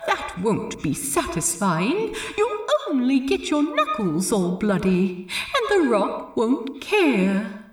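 A woman's voice: fairly high pitch, huge pitch swings, slow pace, echoey room, distant mic, heavily acted. A woman voicing a prim, reasonable male pirate as a fussy, chiding inner voice in an audiobook story. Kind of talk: acted audiobook dialogue.

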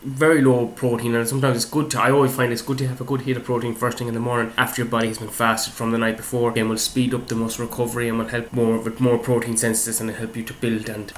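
Fast-talking croaky man. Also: medium pitch, little pitch movement, slight background noise. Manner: rambling, repeats "again, like that", swears casually. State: slightly croaky voice from a cold. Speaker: fitness podcast host. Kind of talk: casual solo chat.

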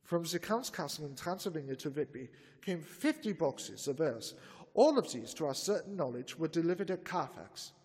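A man's voice: a little high, expressive, a little mellow, slightly rough, in a large hall. A man playing a grave old vampire-hunting professor. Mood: businesslike. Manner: solemn, commanding, slow and deliberate. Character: grave elderly male vampire hunter professor. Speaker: Van Helsing. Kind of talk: 1930s radio drama acting.

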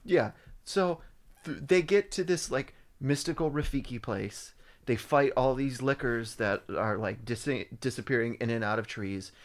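Male co-host, mid pitch, sarcastic profane plot recapper, casual podcast banter. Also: a little slow. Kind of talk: casual movie review chat.

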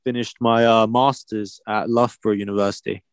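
A man's voice: fairly low pitch, flat delivery, a little fast, smooth, dry recording. A young man born in China, chatting casually and teasing his friend with frequent laughs. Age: young adult. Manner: laid-back, teasing, laughs often. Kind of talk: casual chat.

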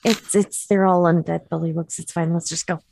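A woman's voice: on the low side, steady tone, normal speed, vocal fry, a little mellow, a little hiss or hum. A woman chatting casually in character as a player in a tabletop game.

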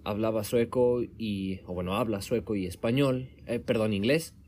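A man's voice: on the low side, moderate pace, darkish tone, a little hiss or hum. A man from Mexico talking casually as the interviewer, correcting himself often as he speaks. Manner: conversational, with restarts and self-corrections. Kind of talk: casual conversation. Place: home room in Sweden.

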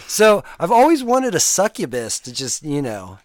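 Male podcast host, crude jokey banter, very animated pitch, dry close sound. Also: moderate pace, dry recording. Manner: crude, joking, laughs a lot. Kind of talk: casual podcast chat.

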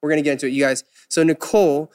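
A woman hosting a podcast interview in a casual, eager way, reacting with many quick backchannels. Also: deep voice, steady tone, darkish tone. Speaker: podcast host.

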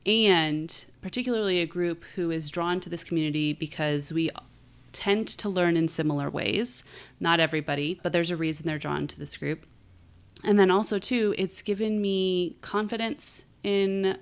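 A woman's voice: deep voice, fairly bright tone, smooth. A woman co-hosting a writing podcast in a casual, conversational style. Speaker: podcast co-host, writing teacher.

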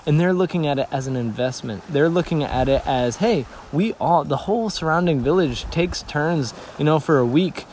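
Earnest missionary man with a higher voice. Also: moderate pace, hardly any pauses, a little mellow, a little hiss or hum. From Tanzania. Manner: earnest, storytelling, many restarts. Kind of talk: conversational interview.

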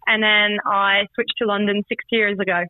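A woman's voice: mid-range voice, flat delivery, normal speed, dry recording. Young woman on a phone line. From Paris, France. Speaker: amateur footballer being interviewed. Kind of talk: casual phone interview. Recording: tinny telephone line.

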